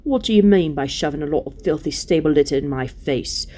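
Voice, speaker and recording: A woman voicing a surly, stubborn male dwarf in a storybook reading, grumbling gruffly and scornfully in colloquial speech. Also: sits low, moderate pace, warm tone, natural voice. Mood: indignant.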